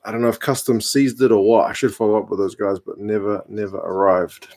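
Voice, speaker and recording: A New man in his mid-thirties chats easily on a home live stream, his voice sitting a little low. He answers viewer comments in a laid-back, wry way, with a conversational rhythm. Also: brisk. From New Zealand. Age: 30s. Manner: relaxed, chatty, dry humour. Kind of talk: casual chat reading viewer comments.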